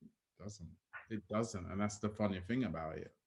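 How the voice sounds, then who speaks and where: A man interviewing a guest, asking gentle, measured questions. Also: fairly low pitch, creaky.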